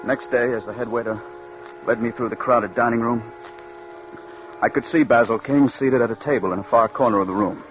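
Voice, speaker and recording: A man's voice: medium pitch, steady tone, brisk, loud music behind the voice, close to an everyday voice. An actor playing a nervous, earnest radio writer in his early thirties, in 1940s radio drama style. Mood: narrating. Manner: earnest, anxious, deferential. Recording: dull old radio recording, faint noise.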